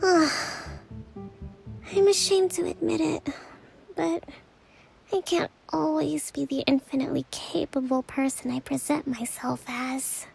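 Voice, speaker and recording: An actress voicing a weary, gentle young priestess and leader who speaks softly, sighing and half whispering. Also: female voice, sits high, little pitch movement, unhurried, vocal fry, faint music behind, full character voice. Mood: confiding. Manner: soft, tired, sighing, often whispery. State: exhausted. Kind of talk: video game voice acting.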